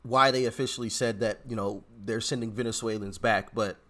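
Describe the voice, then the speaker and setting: Animated man reacting to clips. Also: lowish voice, brisk. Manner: animated, exclaiming, trails off and restarts. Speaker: reaction video host. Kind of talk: casual reaction commentary.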